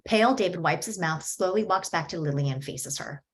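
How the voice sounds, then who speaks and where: A woman reading screenplay stage directions at a table read in a steady, descriptive way. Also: lowish voice, a little fast.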